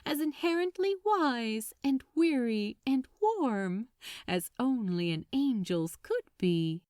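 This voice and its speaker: A woman narrates fiction with a fairly high voice and animated intonation. The reading is expressive and unhurried, in a dry, close recording. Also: clean tone, no echo. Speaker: audiobook narrator.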